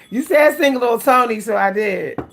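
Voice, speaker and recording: A woman chats loosely with her livestream audience and calls them "y'all." Her voice sits a little high. She talks in a slow, drawn-out way and keeps drifting into snatches of song. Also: slight background noise. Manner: chatty, laughs often, breaks into song, addresses viewers as y'all. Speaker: livestream host. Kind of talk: casual livestream chat with singing.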